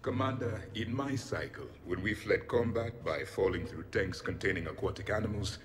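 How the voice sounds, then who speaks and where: An actor playing a formal, amused ancient alien warrior in a video game, with stilted, mocking delivery. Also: male voice, on the low side, lively intonation, normal speed, crowd noise, natural voice.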